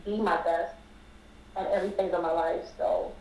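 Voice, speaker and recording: A woman's voice: on the low side, steady tone, normal speed, darkish tone. A friendly, upbeat woman in her thirties speaks as a podcast guest, heard some way from the mic. The sound is roomy with a faint hiss behind her. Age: 30s. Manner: upbeat, friendly, laughs easily. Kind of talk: casual interview. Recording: distant, roomy with faint noise.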